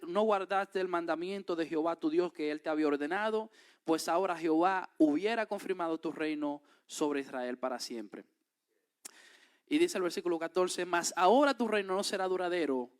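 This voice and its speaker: Dominican male preacher, high-pitched, sermon with scripture readings. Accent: Dominican Spanish. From Dominican Republic. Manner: reads scripture aloud, then explains it with emphatic rises.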